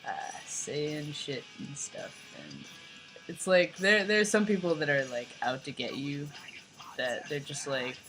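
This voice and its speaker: A young man with a light, fairly high voice talking in a rambling, casual vlog, full of "like" and "you know". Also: sing-song, a little slow, music in the background, low-quality recording. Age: young adult. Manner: rambling, filler-heavy, lots of "like" and "you know". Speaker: vlogger.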